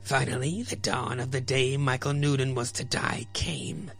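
A male host narrates a horror tale dramatically. His mid-range voice has noticeable creak, and faint music runs behind the scripted reading. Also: medium pitch, steady tone, normal speed, a bit bright. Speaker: podcast host and reader.

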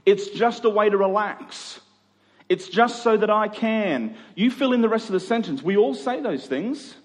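A middle-aged man preaching in a conversational expository style, using rhetorical questions and emphatic repetition. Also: high voice. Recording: long hall reverb.

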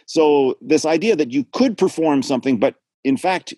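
Guy musing out loud on a video call, voice bouncing up and down, dry close sound. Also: a little high, moderate pace, breathy edge, no room sound. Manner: thoughtful, digressive, lively intonation. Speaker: art theorist guest. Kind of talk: reflective spoken lecture-like talk.

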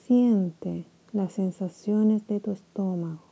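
A woman leads a body-scan meditation for sleep. Her voice is deeply mellow and warm, and she moves very slowly, letting phrases hang with long gentle pauses. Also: gravelly, husky, poor audio. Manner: slow, soft, soothing with long pauses. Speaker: meditation guide.

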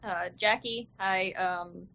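A woman playing in a tabletop game, hoarse from a cough, speaking casually. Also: mid-range voice, steady tone, slow pace, sharp tone, highs cut off. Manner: apologetic, casual. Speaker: player.

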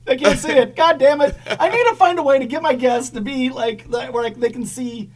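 A man hosts a podcast in a notably high voice, joking around and swearing freely. His delivery is loud and playful. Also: brisk. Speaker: podcast host. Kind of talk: casual chat.

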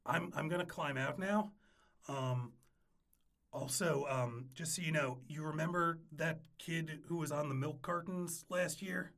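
A man's voice: fairly high pitch, measured pace, a bit bright. A man playing a nervous, pleading ex-boyfriend who stammers and repeats himself in a comic audio drama. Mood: nervous, sheepish.

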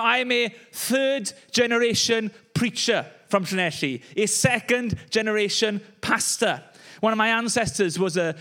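A man's voice: sits high, bright, forceful tone. A young Welsh man, a pastor, preaching a conversational sermon with joking asides. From Wales. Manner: chatty, joking asides with laughs.

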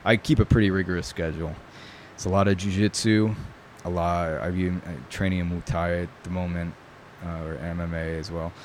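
A man's voice: sits low, flat delivery, fairly quick, hardly any pauses, darkish tone, a little hiss or hum. A man from New York who grew up speaking Italian first, a guest talking casually in an interview, thoughtful, with many fillers. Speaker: guest, knife maker.

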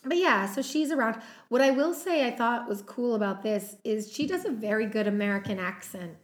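A woman's voice: fairly high pitch, expressive. A woman co-hosting a film podcast, chatting casually through cast notes with plenty of "um" fillers. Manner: unhurried, many "um" fillers, running through notes. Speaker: podcast co-host. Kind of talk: casual chat about a film's cast.

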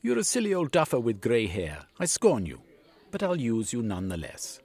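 A man's voice: medium pitch, wildly swooping pitch, moderate pace, darkish tone, slightly rough, voice pushed up, heavily acted. A man voicing a scheming, scornful male foreign spy villain who threatens and sneers.

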